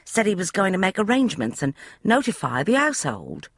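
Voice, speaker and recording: A woman's voice: medium pitch, huge pitch swings, fairly quick, a bit bright, no room sound, studio quality, pitched above the performer's own voice, full character voice. A woman voicing a young, flustered housemaid who gossips in broad, common speech. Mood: gossipy.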